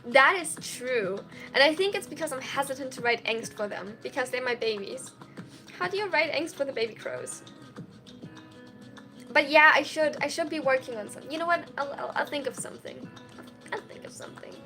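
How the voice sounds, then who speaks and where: Woman, 21, chatty high-voiced streamer answering chat, with faint music underneath. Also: lively intonation, a little fast, bright, forceful tone. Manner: chatty and giggly, full of "like" and "um". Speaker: streamer and college student. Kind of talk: casual livestream chat answering viewer questions.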